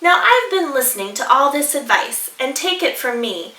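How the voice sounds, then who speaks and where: A bristly, insistent echidna from a children's book played by a woman. The voice is bright and bossy, in a roomy echo. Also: high voice, very animated, normal speed, heavily acted. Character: bristly bossy male echidna giving advice. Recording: roomy echo, distant mic.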